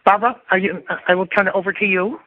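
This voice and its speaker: A man's voice: high voice, fairly even intonation, fast talker, dry recording. A man hosting an interview, asking long rambling questions. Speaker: interviewer host. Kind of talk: phone interview. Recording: dry tinny phone line.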